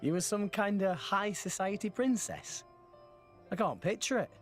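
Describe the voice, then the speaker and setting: A lively, high-voiced teenage boy. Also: moderate pace, soft background music. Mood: surprised. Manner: eager, friendly, plain-spoken. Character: earnest, upbeat teenage boy salvager. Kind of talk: video game voice acting.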